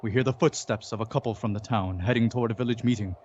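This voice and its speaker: A man's voice: medium pitch, darkish tone, a little hiss or hum, close to an everyday voice. Dramatic radio mystery announcer, played by a man. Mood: dramatic, suspenseful. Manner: dramatic, deliberate. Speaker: announcer and narrator. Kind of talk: old-time radio drama narration. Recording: dull lo-fi audio. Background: music.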